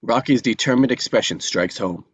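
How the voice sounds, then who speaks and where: A man's voice: mid-range voice, normal speed, breathy edge, dry recording, highs cut off. A man reading out stage directions as narrator in a scripted table read.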